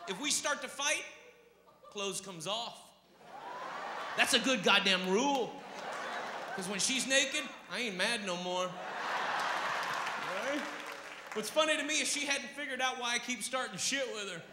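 A man performing a brash, emphatic stand-up comedy routine on a theater stage into a handheld microphone, swearing and often rising to a shout. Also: very high-pitched, measured pace, pauses often. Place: large theater stage before an audience. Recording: echoey hall with noisy crowd. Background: audience noise and laughter.

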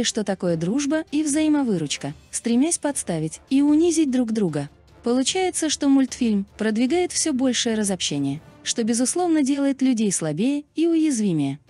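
A woman's voice: high-pitched, warm tone, no room sound. A woman reading a scripted explainer narration as a narrator. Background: background music.

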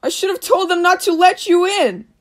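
A woman's voice: very high voice, animated, normal speed, a little hiss or hum. A woman playing an earnest, sensitive young prince in a radio play, speaking in a heartfelt way. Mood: indignant. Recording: very echoey hall, loud music behind.

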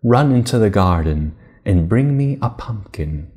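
A man voicing a kindly, commanding fairy godmother in a storybook, gently giving instructions. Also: on the low side, animated, a little slow. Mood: instructing.

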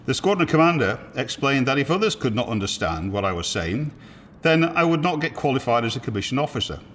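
A man in his eighties from Liverpool, an army veteran, reading a personal memoir aloud at a measured, steady pace. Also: medium pitch, in a large hall, slight background noise. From Liverpool, England. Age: 80s. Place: indoor museum room with military equipment.

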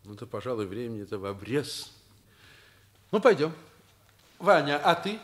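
Man playing a mild, medium-pitched headmaster. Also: sing-song, moderate pace, natural voice. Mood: mildly reluctant. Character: mild, indulgent male school headmaster and history teacher. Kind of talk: Soviet radio play acting.

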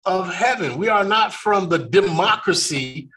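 A man's voice: sits high, lively intonation, pushed and bright. A man from California, hosting a faith discussion, talking earnestly with many restarts. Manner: slow, earnest, with frequent restarts. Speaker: ministry discussion host.

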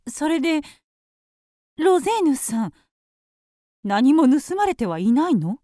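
Excitable, high-pitched gossipy passenger. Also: female voice, a little slow, no room sound, studio quality. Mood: suspicious. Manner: excitable, accusing. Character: alarmed, gossipy, suspicious female cruise passenger. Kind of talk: radio drama acting.

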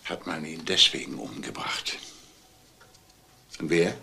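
A man playing a sick, guilt-ridden older businessman in a crime drama, speaking haltingly and heavy-heartedly. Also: low voice, steady tone, creaky, heavy background noise, full character voice. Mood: subdued. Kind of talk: crime film drama acting.

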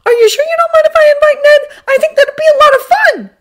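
Lady doing a eager teen boy for a story, way high pitched, kinda bashful, rambles and trips over words, bouncy. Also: moderate pace. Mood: gleeful. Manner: hesitant, rambling when excited.

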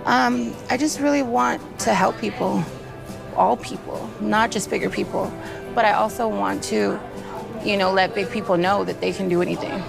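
A woman's voice: medium pitch, normal speed, creaky. A woman in her thirties, a salon owner and makeup artist, speaking earnestly and from the heart. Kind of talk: casual emotional conversation. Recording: background music under voice.

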